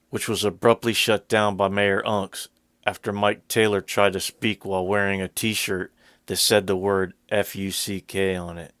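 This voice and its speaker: A man reading scripted narration for a video in a measured, formal way, with a smooth, clear voice. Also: on the low side, a bit bright, dry recording, studio quality.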